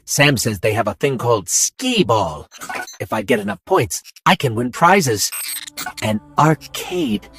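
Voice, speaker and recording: Earnest, curious, animated robot companion. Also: male voice, medium pitch, measured pace, gravelly, soft background music, heavily acted. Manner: thoughtful, musing, polite. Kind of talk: video game dialogue.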